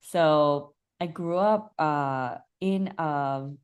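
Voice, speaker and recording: Woman from Bangladesh, low voice, soft, reflective, halting speech. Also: clear, resonant tone. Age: young adult. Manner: soft, reflective, many fillers and restarts. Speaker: guest, holistic coach. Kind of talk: casual interview chat.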